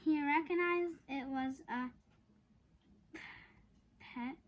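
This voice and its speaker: High-voiced woman reading slowly aloud. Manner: halting, stumbles over long words. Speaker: storybook reader. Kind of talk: read-aloud of a children's chapter book.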